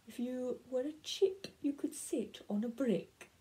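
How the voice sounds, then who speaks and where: A woman voicing a smug, bossy male frog in a read-aloud picture book, loud and high. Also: measured pace, husky, heavily acted, rough recording.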